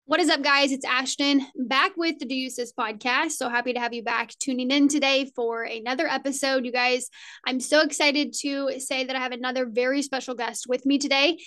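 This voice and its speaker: A woman's voice: sits high, steady tone, a little fast, fairly bright tone. A woman with a slight Southern US accent, hosting a casual podcast interview in an upbeat, rambling, friendly way. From Indiana, USA. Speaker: podcast host.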